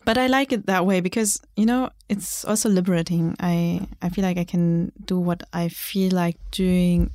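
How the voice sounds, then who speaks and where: A woman's voice: lowish voice, fairly even intonation, moderate pace, hardly any pauses, a little mellow, no echo, studio quality. A young woman from Germany whose first language is German, a journalist and writer, talking reflectively in a casual interview and laughing often.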